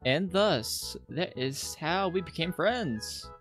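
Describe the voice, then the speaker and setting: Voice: mid-range voice, animated, takes it slowly, a bit bright. A streamer reading a visual novel aloud as narration, stumbling now and then over the text. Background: background music.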